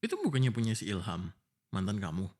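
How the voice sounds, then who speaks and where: A man's voice: lowish voice, huge pitch swings, quick pace, darkish tone. A man acting an exasperated, protective young fiancé in a boyfriend ASMR roleplay, pleading and scolding informally. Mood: annoyed, suspicious. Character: exasperated, protective young fiancé arguing before his wedding. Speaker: voice actor. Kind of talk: boyfriend ASMR roleplay, one-sided dialogue.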